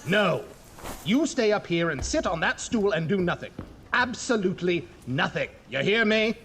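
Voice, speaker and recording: A man's voice: sits high, expressive, normal speed, fairly bright tone. A man playing a pompous, irritable young mad scientist in a comedic horror audio drama, theatrical and easily exasperated. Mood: irritated. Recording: noisy, echoing hall reverb, clicks.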